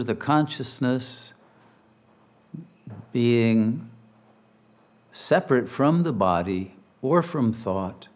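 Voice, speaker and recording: Man, medium pitch, slow guided-meditation delivery, measured phrasing, long pauses, soothing teacher. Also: a little mellow.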